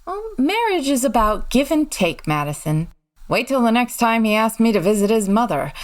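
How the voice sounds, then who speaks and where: An actress playing a witty, wryly put-upon society wife in an old-time radio comedy, with a dry delivery. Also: female voice, fairly high pitch, big pitch swings, moderate pace.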